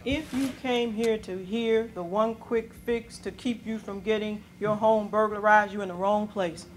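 A woman's voice: medium pitch, fairly even intonation, a little slow. A middle-aged woman police officer giving an emphatic presentation to a community meeting in a hall. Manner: emphatic, repeats key points. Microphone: handheld microphone.

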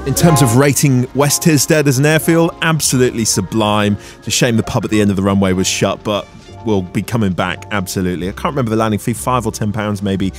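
A man's voice: fairly low pitch, steady tone, a little fast, faint music behind. A man in his thirties with an English accent gives a conversational, explanatory voice-over as a vlogging pilot, chatty and self-deprecating.

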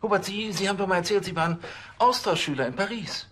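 An actor playing a pompous, easily exasperated police chief in a TV crime comedy. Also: male voice, high voice, quick pace, fairly bright tone, slight background noise. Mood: irritated, bossy. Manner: pompous, theatrical.

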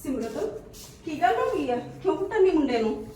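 A woman playing a patient elder sister-in-law who calmly placates and reasons in a family drama. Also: high voice, normal speed, reverberant, distant mic. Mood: placating.

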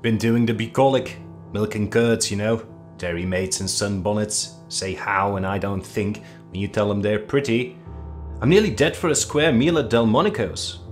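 A man voicing a brash, hearty young army officer, loud and impatient, with soft guitar music behind. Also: on the low side, moderate pace, natural voice.

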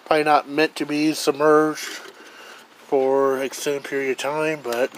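A man's voice: on the high side, level tone, unhurried, slight background noise, poor audio. A man casually reviewing gear outdoors on a deck, talking in a rambling, plainspoken way as he films with a handheld camera. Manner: rambling, plainspoken, thinks aloud.

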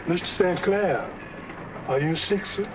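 An actor playing a polite, deferential, attentive railway porter in a 1940s film. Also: male voice, unhurried. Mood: attentive, concerned. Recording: noisy old film track, train rumble.

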